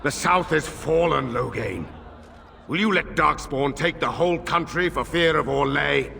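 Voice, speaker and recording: An actor playing a grim, challenging nobleman in a video game, blunt and urgent with a gravelly voice. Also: a little high, unhurried, pushed and bright, heavily acted. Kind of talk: dramatic video game acting.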